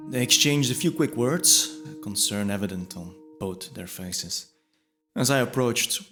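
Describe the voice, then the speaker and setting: A man delivering scripted first-person fantasy narration in a measured, descriptive storytelling manner. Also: lowish voice. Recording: music bed under the voice.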